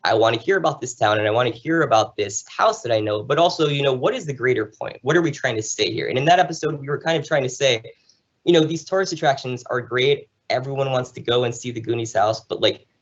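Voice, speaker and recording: A man's voice: mid-range voice, lively intonation, quick pace. American man storytelling, slightly dull audio. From New Jersey, United States. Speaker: podcast guest, travel show host. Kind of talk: conversational interview, enthusiastic storytelling.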